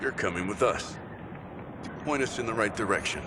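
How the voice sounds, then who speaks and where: An actor playing a brusque, commanding mercenary commander in a video game, giving curt orders. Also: male voice, moderate pace, close to an everyday voice. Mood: stern command. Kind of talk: dramatic video game acting. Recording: clear background noise, vehicle rumble.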